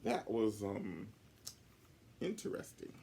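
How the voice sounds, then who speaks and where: A man's voice: lowish voice, very animated, slow pace, dark tone, a little hiss or hum. A man voicing a motherly, encouraging female owl schoolteacher in a storybook. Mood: hesitant politeness. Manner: kindly, reassuring.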